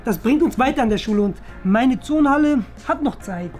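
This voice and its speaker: A woman's voice: high-pitched, animated, a little fast, darkish tone. A woman playing a sporty, candid, fair-minded schoolboy in a children's skit, talking in a frank, emphatic way. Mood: sincere. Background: music.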